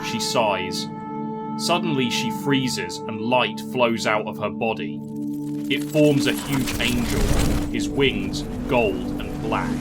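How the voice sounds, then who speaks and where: A man narrates a fantasy tale at an unhurried pace, in a measured literary manner. His voice sits at an average male pitch, and music plays clearly behind him.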